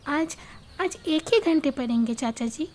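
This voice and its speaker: A woman's voice: high-pitched, animated, moderate pace, darkish tone, a little hiss or hum. A woman voicing an earnest, pleading schoolgirl in an audio story drama.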